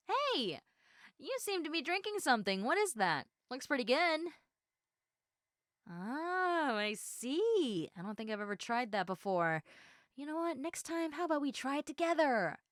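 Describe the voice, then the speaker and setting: A woman playing an eager, socially awkward, chatty young woman in a roleplay audio, halting and excitable as she talks to the listener. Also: on the high side, no echo, clean studio sound. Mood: bright, trying hard.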